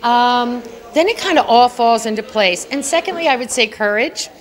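A middle-aged woman speaking into a handheld mic held up by an interviewer at an indoor gala press wall. Her voice sits a little high, and she talks in an earnest, chatty way, laughing lightly now and then. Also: animated, moderate pace. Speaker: award honoree, beauty entrepreneur. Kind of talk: red carpet interview.

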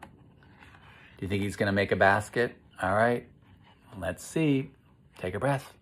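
A middle-aged American man reading aloud and gently guiding children, explaining things and putting questions to his listeners. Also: lowish voice, takes it slowly. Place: small living room, indoors.

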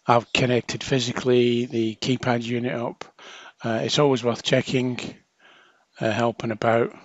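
A man's voice: mid-range voice, flat delivery, unhurried, no room sound, muffled recording. A man narrating a hands-on technical tutorial as a trainer, explaining informally step by step.

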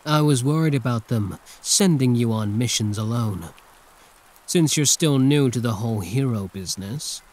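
A man's voice: mid-range voice, moderate pace, darkish tone, natural voice. A man playing a condescending yet protective hero turned villain in an intimate, theatrical ASMR roleplay monologue. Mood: earnest, confiding.

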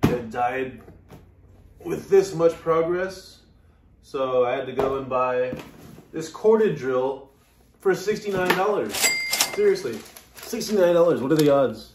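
A man's voice: on the high side, animated, unhurried, long pauses. A man in his thirties talking casually to a camera a few feet away in a living room. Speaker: video host. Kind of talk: casual vlog. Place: living room floor, indoors. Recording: echoey, distant, noisy room recording.

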